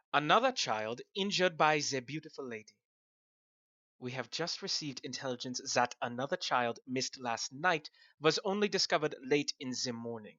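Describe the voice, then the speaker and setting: A man's voice: mid-range voice, pushed and bright. A man playing a grave, commanding older professor in a radio drama, solemn and emphatic. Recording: roomy, slightly dull, faint music.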